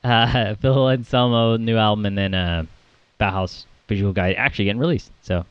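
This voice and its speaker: A man's voice: fairly low pitch, steady tone, moderate pace, smooth, no room sound, highs cut off. A man co-hosting a casual podcast about music, rambling with plenty of fillers and little laughs. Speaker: podcast co-host.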